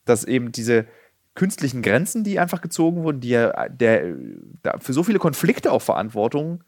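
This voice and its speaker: A man's voice: mid-range voice, very animated, moderate pace, darkish tone, no echo, clean studio sound. A man hosting a casual podcast conversation, speaking in a rambling, reflective way and sometimes acting out other voices. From Berlin. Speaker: podcast host.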